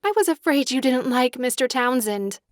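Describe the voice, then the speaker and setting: Timid, high-voiced gentle daughter. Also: female voice, lively intonation, normal speed, dry recording, studio quality. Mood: pleading, upset. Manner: soft, timid, sincere. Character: gentle, timid, earnest young daughter, simple and sincere. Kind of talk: full-cast audiobook period drama acting.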